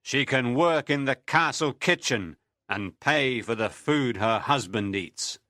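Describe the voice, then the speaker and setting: Harsh commanding medieval lord, read by a man, bright tone, swinging pitch. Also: lively intonation, takes it slowly, no echo, clean studio sound. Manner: barking, commanding. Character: cruel greedy medieval lord, big fat man. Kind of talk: audiobook reading.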